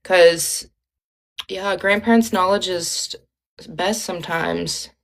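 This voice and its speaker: A woman's voice: on the low side, steady tone, takes it slowly, gravelly. A young woman with a Midwestern Ohio accent, chatting casually and rambling as she hosts a livestream, with lots of ums and likes. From Ohio, USA. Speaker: livestream host.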